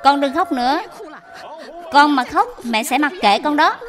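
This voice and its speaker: A woman voicing an exasperated young mother scolding in a sharp, nagging manner in an animated dub. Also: sits high, measured pace. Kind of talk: dubbed animated moral tale.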